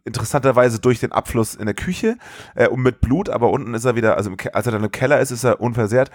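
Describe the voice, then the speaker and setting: A man co-hosting a podcast, telling film scenes in a casual, colloquial and animated way. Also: medium pitch, quick pace, dry recording, clean studio sound. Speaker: podcast co-host. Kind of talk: casual film-review chat.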